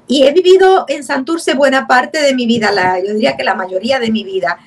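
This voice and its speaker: A woman's voice: sits high, brisk. A woman in her seventies with a Puerto Rican accent, a guest recalling family history at an easy pace over a video call. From Puerto Rico. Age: 70s. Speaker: guest, actress. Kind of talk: interview.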